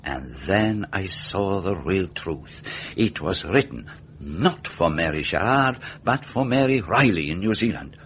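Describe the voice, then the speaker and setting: Deep-voiced, animated, methodical detective. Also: male voice, slight background noise, full character voice. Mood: explanatory, intent. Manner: deliberate, methodical, rhetorical questioning. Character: meticulous, self-assured male detective explaining a murder case. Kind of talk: radio mystery drama acting.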